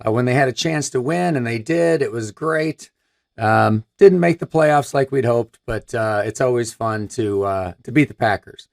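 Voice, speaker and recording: A man's voice: mid-range voice, normal speed, vocal fry, darkish tone. A man in his fifties from Michigan with a scratchy voice, hosting a technical live stream in an upbeat, chatty way. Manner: upbeat, chatty, gestures while talking. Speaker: host. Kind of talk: live stream product presentation. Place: room with a brick wall backdrop.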